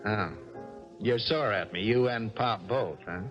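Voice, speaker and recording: A man's voice: on the low side, unhurried, natural voice. An actor playing a glib, persistent male sports reporter, wry and probing, in a 1970s radio drama. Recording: muffled old radio broadcast, music behind.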